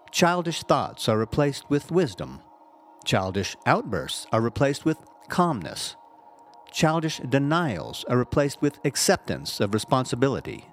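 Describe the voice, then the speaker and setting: Expressive man reading a spiritual message. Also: medium pitch, slight background noise. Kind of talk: scripted inspirational narration. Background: background music.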